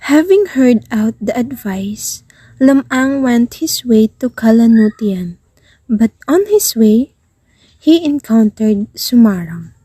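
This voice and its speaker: A woman narrates a scripted story at a slow pace. Her voice is fairly high and husky, with a dry, close sound. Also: animated, warm tone, no room sound, low-quality recording.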